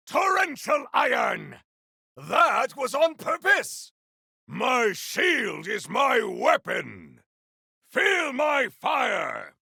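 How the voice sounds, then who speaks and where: An actor voicing a fierce, honorable cyborg warrior in a video game, speaking in a theatrical, grandiose, gravelly way. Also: male voice, extremely high pitch, wildly swooping pitch, drawn-out words, sharp tone, no echo, shouting, clean studio sound, full character voice. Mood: battle fury. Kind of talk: video game combat barks.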